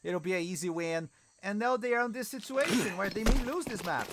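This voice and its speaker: A Brazilian man with a high, keyed-up voice calls a shooter match live in accented English, scolding players and greeting his chat. Loud game gunfire and clicks pound away behind him the whole time. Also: brisk, heavy background noise. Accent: Brazilian Portuguese. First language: Portuguese. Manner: excitable, scolds players, chats with viewers. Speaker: esports streamer commentator.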